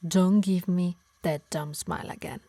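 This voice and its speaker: A woman's voice: fairly low pitch, fairly even intonation, measured pace, warm tone, no room sound. Doting, scolding harpy played by a woman, dry close sound. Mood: exasperated, scolding. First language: Italian. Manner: teasing, scolding, sighing. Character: possessive, doting, scolding female harpy healer. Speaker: ASMR roleplay performer. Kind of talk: ASMR roleplay acting.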